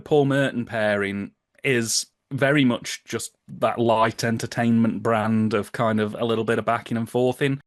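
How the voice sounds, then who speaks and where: A man's voice: medium pitch, no room sound, clean studio sound. A British man speaking as a podcast guest, explaining things in an enthusiastic, chatty way with colourful colloquial phrasing. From United Kingdom. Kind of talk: enthusiastic explanatory casual chat.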